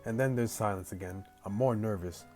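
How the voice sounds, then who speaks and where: Male let's-player, deep voice, casual story reading with slangy reactions, faint music underneath. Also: fairly quick. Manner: slangy, animated asides, breaks into laughter. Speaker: gaming video host reading a visual novel aloud.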